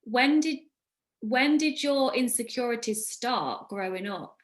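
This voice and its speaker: A woman's voice: a little high, lively intonation, measured pace. Earnest woman hosting a podcast. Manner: earnest, reflective. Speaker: podcast host. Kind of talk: casual conversation.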